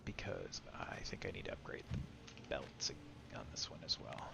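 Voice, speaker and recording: A man's voice: rumbling low, big pitch swings, normal speed, gravelly, in a whisper. A man chatting casually as he streams and comments on his gameplay. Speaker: game streamer.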